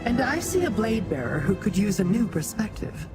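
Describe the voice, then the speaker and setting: A woman's voice: mid-range voice, sing-song, moderate pace, close to an everyday voice. A woman voicing a haughty, commanding demon in a fantasy video game, speaking formally and scornfully. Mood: cool, commanding. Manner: imperious, formal. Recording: loud game music behind.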